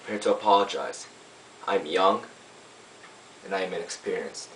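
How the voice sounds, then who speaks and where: A man playing a young, earnest defense lawyer, pleading to a jury in an emphatic, oratorical monologue with deliberate pauses. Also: low voice, a bit bright. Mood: humble, apologetic. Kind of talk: dramatic courtroom monologue. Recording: roomy, distant mic, faint hiss.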